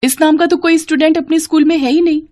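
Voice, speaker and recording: A woman voicing a brisk female schoolteacher in a storybook tale, higher and brighter than her narrating voice. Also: steady tone, close to an everyday voice. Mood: puzzled.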